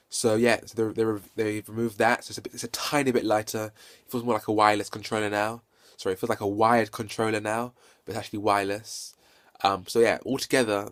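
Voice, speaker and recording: Low-pitched, stuttering man reviewing games. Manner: halting, self-correcting and repetitive. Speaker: video game reviewer and vlogger. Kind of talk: unscripted casual hands-on review. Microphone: handheld phone.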